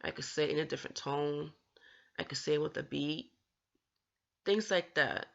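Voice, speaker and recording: A woman's voice: deep voice, normal speed, gravelly, lo-fi sound. A woman vlogger talking earnestly about her own stutter, with occasional stammered restarts as she encourages others. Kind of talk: personal talk to camera.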